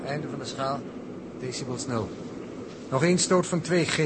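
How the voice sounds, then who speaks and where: An old, noisy radio recording of an actor playing a serious male astronaut. His mid-pitched voice is measured and exact, with a Netherlands Dutch accent and the delivery of sixties radio drama. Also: lightly acted. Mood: concentrated. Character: earnest, precise male astronaut doing the calculations.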